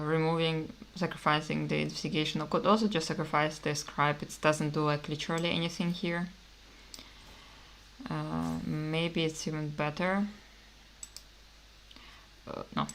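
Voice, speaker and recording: A woman from Kazakhstan streaming a card game, thinking aloud in a hesitant, musing way with many pauses and fillers. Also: sits low, a bit bright, slight background noise.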